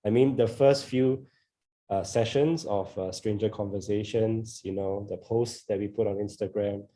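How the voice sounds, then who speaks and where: A man from Singapore with a Singaporean accent, sharing his thoughts in a relaxed group conversation on a video call, often laughing and filling pauses. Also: lowish voice, a little hiss or hum. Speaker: co-organiser of a discussion group.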